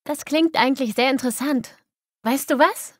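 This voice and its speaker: A woman playing an obliging, modest publisher in a scripted language-lesson dialogue, speaking politely with clear enunciation. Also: sits high, normal speed, no echo, clean studio sound, close to an everyday voice. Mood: willing.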